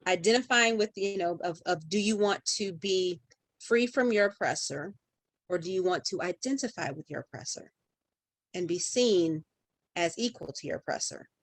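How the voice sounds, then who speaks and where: A woman's voice: on the low side, moderate pace, dry recording. A woman speaking as a podcast guest in conversation, emphatic and building her points through repeated phrases.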